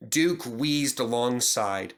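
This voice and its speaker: A man's voice: mid-range voice, lively intonation, slow pace. A man narrating a scripted children's story as a storybook reader.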